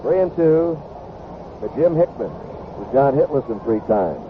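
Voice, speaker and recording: A man's voice: medium pitch, big pitch swings, a little slow, phone-quality sound. Male play-by-play baseball announcer for a vintage radio broadcast, thin band-limited sound under heavy noise. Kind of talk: live sports commentary. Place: ballpark broadcast booth. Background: loud ambient ballpark noise.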